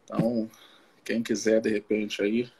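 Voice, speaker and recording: A man with a husky voice, speaking informally as a missionary pastor in a halting way, often checking in with his listener. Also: on the low side, flat delivery, warm tone. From Belo Horizonte, Brazil. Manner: halting, frequent tag questions to the listener. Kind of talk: informal testimony and Bible teaching. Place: live social media video call.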